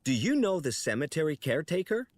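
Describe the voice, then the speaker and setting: An actor playing a young, naive apprentice angel in an adventure game, polite, curious and earnest. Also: male voice, a little high, sing-song, fast talker, darkish tone, slight background noise.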